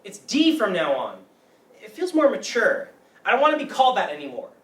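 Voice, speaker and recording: A man's voice: high-pitched, wildly swooping pitch, fairly quick, fairly bright tone, full character voice. A man playing an earnest, lovesick teenage boy in a staged play reading, emphatic and self-serious. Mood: indignant. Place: room at a live staged reading. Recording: roomy, distant mic with background noise.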